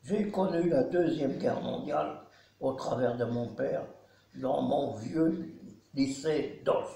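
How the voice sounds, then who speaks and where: A man in his nineties from Belgium, a care home resident, giving a solemn, measured spoken testimony. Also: medium pitch, creaky, dark tone. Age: 90s. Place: nursing home. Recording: echoey room.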